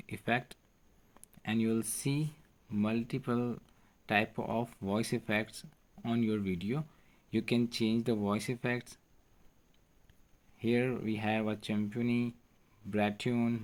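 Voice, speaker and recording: A man with a fairly low voice narrates a step-by-step app tutorial. He speaks as the host, walking the listener through instructions.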